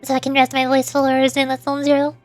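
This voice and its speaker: A woman's voice: high voice, monotone, a little fast, faint music behind. A woman livestreamer chatting casually, rambling and often interrupting herself, her voice starting to tire. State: voice starting to get worn out. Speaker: VTuber livestreamer.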